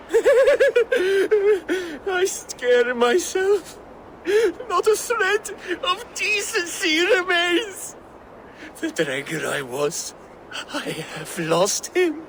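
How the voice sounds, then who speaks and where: A man's voice: very high voice, very animated, extremely slow, fairly bright tone, a little hiss or hum, full character voice. A man playing a tormented, deranged Viking warrior in a video game, theatrical and erratic. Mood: manic glee. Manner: theatrical, erratic swings from growls to cackles. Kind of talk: dramatic video game acting.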